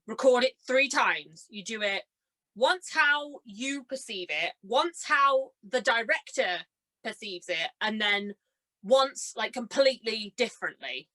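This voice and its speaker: Bright-voiced young woman chatting casually. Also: a little high, low-quality recording. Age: young adult. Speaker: interview guest, amateur actor and cosplayer. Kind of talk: casual conversational chat.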